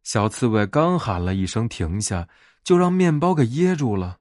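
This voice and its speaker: A man narrating a bedtime fairy tale as a storyteller, in an expressive scripted read. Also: medium pitch, normal speed, no echo, clean studio sound.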